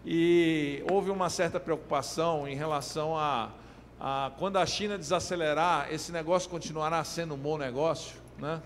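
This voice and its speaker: Middle-aged man on a conference mic, fairly high voice, hesitant delivery, long hall reverb. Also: fairly even intonation, moderate pace, a little hiss or hum. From Maranhão, Brazil. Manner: hesitant, many fillers and restarts. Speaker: keynote speaker. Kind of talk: conference presentation.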